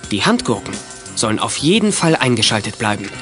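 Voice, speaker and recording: A man's voice: medium pitch, sing-song, a little fast. A man narrating a children's radio play in a scripted storytelling style. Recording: music behind the narration.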